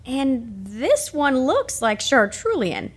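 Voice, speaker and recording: A woman's voice: high voice, sing-song, slow, exaggerated voice. A woman playing a curious, well-meaning young companion in an audio drama, chirpy, teasing and inquisitive.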